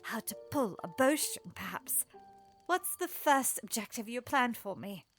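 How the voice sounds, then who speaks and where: A woman playing an eager, proper, playful young princess in an audio drama, with formal, expressive phrasing. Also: on the high side, a little slow, soft background music. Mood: excited.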